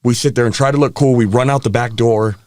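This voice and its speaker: A man around thirty who grew up in Hawaii, a podcast guest telling stories from his life in a relaxed way, laughing often and getting animated as the story builds. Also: mid-range voice, fast, dry recording, clean studio sound. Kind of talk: candid storytelling interview. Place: podcast studio. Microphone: studio mic.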